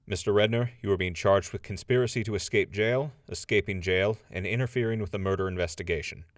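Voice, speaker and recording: Stern, low-voiced man playing a courtroom judge. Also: fast talker, no echo, studio quality, close to an everyday voice. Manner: formal, authoritative. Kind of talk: audio drama acting.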